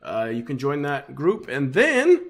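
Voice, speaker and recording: Man, young adult, slightly raspy, chatty, upbeat, card break host, faint crinkling noise. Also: medium pitch, huge pitch swings, a little slow. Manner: upbeat, chatty, talks while opening packs. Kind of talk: casual live card break chat.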